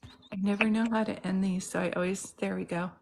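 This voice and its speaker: Brief: middle-aged woman, mid pitch, casual livestream host, chatty, greets viewers by name, phone mic. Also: fairly even intonation, brisk, gravelly, darkish tone, slight background noise. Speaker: psychic medium host. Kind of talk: casual live-stream chat. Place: home in Santa Cruz.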